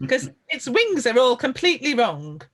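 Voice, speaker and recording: A woman storyteller voicing a shrewd, kindly village shopkeeper who talks briskly and teasingly. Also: sits high, sing-song, voice pushed up.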